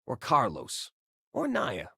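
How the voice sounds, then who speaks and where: An actor playing an easygoing young space miner in a video game, with a casual, joking way of talking. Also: male voice, medium pitch, huge pitch swings, slow pace, gravelly, bright, forceful tone, breathy edge, no echo, studio quality, exaggerated voice. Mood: casual, wry. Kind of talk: video game companion voice lines.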